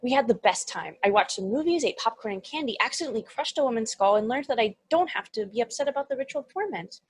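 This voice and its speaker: A woman's voice: a little high, lively intonation, fast, lightly acted. A woman playing a naive, affectionate young demon, childlike and earnest, in a comedy table read. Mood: excited, eager.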